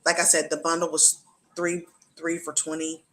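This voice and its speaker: A woman's voice: low voice, completely flat delivery, normal speed. A middle-aged woman hosting a talk show, speaking in an earnest, repetitive way and often checking in with the listener. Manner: earnest, repetitive, often asks "you know what I'm saying". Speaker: host. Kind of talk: casual talk show chat.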